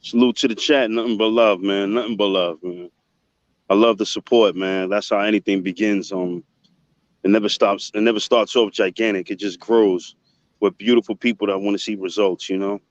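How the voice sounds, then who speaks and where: A man's voice: lowish voice, fairly even intonation, moderate pace, no room sound. A man chatting casually as a livestream guest, encouraging and given to repeating his phrases. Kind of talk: casual chat. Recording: muffled, dull call audio.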